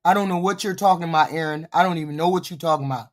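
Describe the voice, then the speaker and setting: Hoarse streamer with a cold, blunt and cussing, talking back at the screen, mid pitch, a bit short of breath. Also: racing. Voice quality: deeper than usual voice, short of breath. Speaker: livestream commentator. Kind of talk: ranting live commentary.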